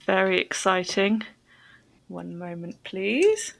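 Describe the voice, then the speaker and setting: A woman hosting a language-learning podcast, interviewing a guest in careful, clearly phrased speech. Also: medium pitch, very animated, slow pace, slight background noise. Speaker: podcast host.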